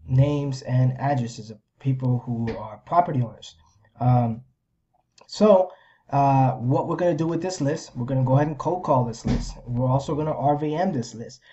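A man in his thirties talking through a screen-share explainer casually, in a halting, repetitive way as if thinking aloud. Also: mid-range voice, warm tone. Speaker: video host sharing a business plan. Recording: slightly dull, lo-fi microphone sound.

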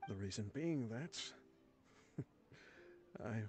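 A man's voice: fairly low pitch, expressive, takes it slowly, warm tone. An actor voicing an ailing, boastful old mercenary leader and fond foster father in a video game, wry and reminiscing. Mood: frank, wry. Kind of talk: video game voice acting, letter read as voice-over. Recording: music under the voice.

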